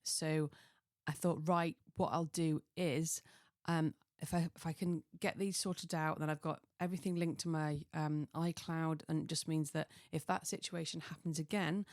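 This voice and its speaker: A woman with a fairly low, slightly croaky voice hosts a casual solo podcast. She rambles in a self-deprecating way and drifts into tangents. The sound is dry and close, from a small home office. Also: steady tone, moderate pace, hardly any pauses, a little mellow, dry recording. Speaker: podcast host.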